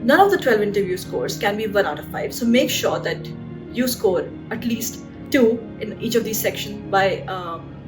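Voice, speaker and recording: A young woman with an Indian accent gives friendly career tips in a relaxed, conversational vlog, often checking in with "right?" Her voice sits in a middle range and sounds a little far from the microphone. Plucked guitar music plays clearly underneath. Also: mid-range voice, normal speed. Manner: chatty, conversational, with frequent fillers and "right?" tag questions. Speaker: doctor giving career advice. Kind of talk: casual advice vlog. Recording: voice a bit distant from the mic, guitar music behind.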